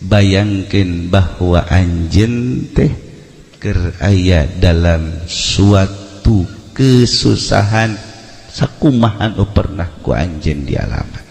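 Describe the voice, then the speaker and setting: A man with a Sundanese accent preaching as a storyteller, humorous and theatrical, acting out dialogue and swinging between hushed and loud delivery. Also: deep voice, lively intonation, slow pace, creaky. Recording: echoey hall with long reverb.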